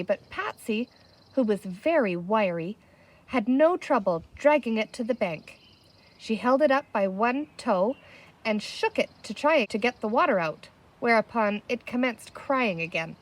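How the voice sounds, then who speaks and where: A woman's voice: a little high, expressive, a little slow, poor audio. A woman reading a children's novel aloud outdoors, telling the story expressively and voicing the dialogue. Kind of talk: expressive read-aloud narration with character dialogue. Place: outdoors in the open air. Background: birdsong, wind chimes, a barking dog.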